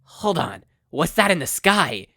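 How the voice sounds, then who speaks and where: A performer voicing an oblivious male cult leader villain with loud, theatrical exclamations in a comic audio story. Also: medium pitch, big pitch swings, unhurried, gravelly, dry recording, studio quality, voice pushed up, exaggerated voice. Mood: alarmed.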